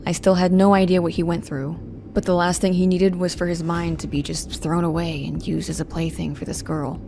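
A woman's voice: fairly low pitch, level tone, fairly quick, vocal fry, noisy background. A woman playing a guarded, protective young woman, narrating an audio drama in the first person in a restrained, brooding, stern manner. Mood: suspicious.

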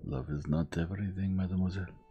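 A man voicing a gentle, fastidious elderly foreign detective who speaks in a measured, formal, persuasive way. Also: sits low, steady tone, slightly rough, highs cut off, soft background music, pitched above the performer's own voice. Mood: earnest.

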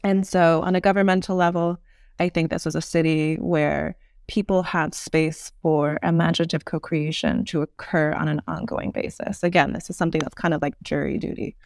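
Podcast guest: woman, thirties, noticeable vocal fry, articulate interview explanations, close dry sound. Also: low voice, little pitch movement, moderate pace, a little mellow, dry recording. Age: 30s.

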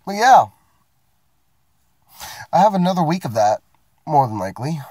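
A man's voice: a little high, sing-song, moderate pace, a little mellow, no echo, clean studio sound. A man, getting sick, ranting casually and profanely about his work week from inside his parked car. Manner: profane, rambling, self-mocking. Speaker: vlogger. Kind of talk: casual rant to camera.

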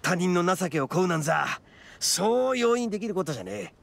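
Brash oni gang boss. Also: male voice, high-pitched, sing-song, fast, gravelly, full character voice. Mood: solemn. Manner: boastful, blunt street-tough slang. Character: brash, big-hearted young male oni gang boss. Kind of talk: Japanese anime game voice acting.

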